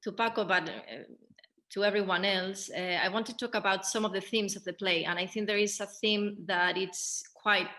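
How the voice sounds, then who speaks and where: Bright clear-cut female voice, moderator asking panel questions, kinda hesitant, searching for words. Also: medium pitch, moderate pace. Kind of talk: hosting a panel discussion.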